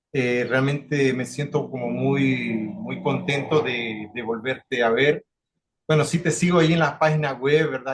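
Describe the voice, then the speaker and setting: Salvadoran guy on a video call, stops and restarts a lot, um-ing, mid pitch. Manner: hesitant, many restarts and fillers. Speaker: guest, community facilitator.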